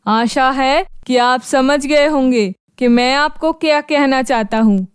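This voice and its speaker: Snooty manager, played by a woman, cartoon story, high voice, unhurried, condescending. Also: clean tone, no echo, studio quality. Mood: mocking. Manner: dismissive, condescending. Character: dismissive, mocking office manager of a modelling boss. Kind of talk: cartoon fairy tale voice acting.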